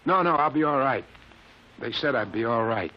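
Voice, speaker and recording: An actor in an old-time radio drama playing a shaken, earnest young test pilot, halting and insistent. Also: male voice, mid-range voice, animated, natural voice. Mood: urgent. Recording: muffled old radio broadcast recording.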